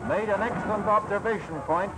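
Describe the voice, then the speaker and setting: A man's voice: high-pitched, fairly quick, rough recording. A man narrating a 1940s war newsreel with formal, declamatory delivery. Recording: old newsreel soundtrack with music. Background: music.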